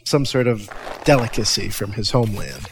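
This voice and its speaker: A man acting as the brisk boss of an audio drama crew in a scripted comedy skit. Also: fairly low pitch, sing-song, soft background music. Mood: brisk, wry. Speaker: podcast crew member playing himself.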